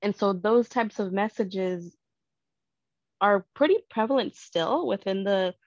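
A woman talking in an earnest, reflective and measured way in a casual conversation, as a guest parent. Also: mid-range voice, dry recording. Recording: dull dry lo-fi sound.

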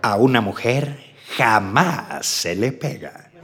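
Dramatic male announcer over loud music. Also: mid-range voice, expressive, slow, recorded from a distance. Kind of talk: dramatic scripted radio credits.

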